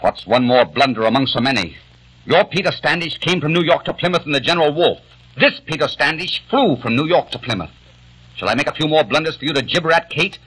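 A man's voice: a little high, expressive, a little fast, a bit bright, breathy edge, low-quality recording. A man in a 1940s radio drama playing a modern man stranded in eighteenth-century London, given to impassioned, bitter outbursts. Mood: furious.

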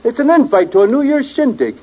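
Brash high-voiced army sergeant. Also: male voice, lively intonation, fast, mellow. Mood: brash, amused. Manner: blunt, streetwise. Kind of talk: 1940s radio comedy acting. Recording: muffled old radio recording with hiss.

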